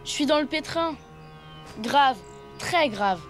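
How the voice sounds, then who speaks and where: Cartoon schoolboy, high pitch, plaintive, mischievous, self-justifying, music underneath. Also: female voice, animated, slow. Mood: glum. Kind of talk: French cartoon dub acting. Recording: music behind the voice.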